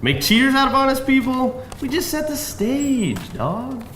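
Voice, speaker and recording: Smooth, defensive male recruiter played by a man at a table read, animated delivery, roomy sound with faint noise. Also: very high-pitched, unhurried, heavily acted. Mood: defensive, persuasive. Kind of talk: live screenplay table read. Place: live table read before an audience.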